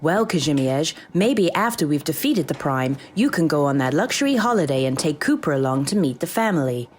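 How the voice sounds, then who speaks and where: A woman playing a brisk, dry-witted AI assistant in a comic sci-fi audio drama. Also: low voice, big pitch swings, a little hiss or hum. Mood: calm, wry. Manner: crisp, dry, businesslike.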